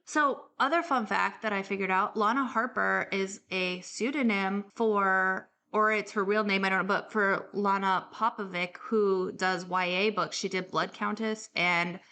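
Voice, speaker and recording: Casting: woman co-host, sharp bright tone, informal and chatty book talk. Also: medium pitch, steady tone, measured pace, few pauses. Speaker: podcast co-host. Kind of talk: casual book chat.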